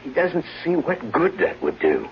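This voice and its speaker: An actor playing a solicitous, evasive husband, coaxing and protesting earnestly in an old-time radio drama. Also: male voice, fairly high pitch, wildly swooping pitch, a little slow, heavily acted. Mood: hesitant, smooth. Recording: muffled, hissy, humming old radio recording.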